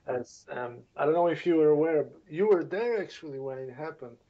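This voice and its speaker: A man's voice: a little high, expressive. A man from Bulgaria with a Bulgarian accent, co-hosting a casual podcast. He jokes dryly, swears bluntly and often restarts his sentences. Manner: dry joking, blunt and swearing, halting restarts. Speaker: podcast co-host. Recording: dull lo-fi sound.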